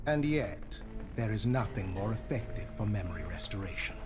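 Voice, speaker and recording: A man playing a calm, measured scientific advisor in a video game cinematic, precise and composed. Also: lowish voice, over music, natural voice. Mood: calm, clinical.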